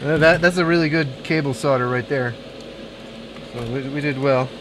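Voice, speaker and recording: A man's voice: on the high side, moderate pace, noisy background. Chatty man soldering, humming background. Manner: thinks aloud, chats with viewers between tasks. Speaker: console repair streamer. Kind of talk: casual live-stream chat while soldering.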